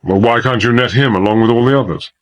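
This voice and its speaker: A man playing a sceptical, impatient British ex-officer gentleman in a period audio drama, with a husky voice and brusque, incredulous delivery. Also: medium pitch, fast, lightly acted.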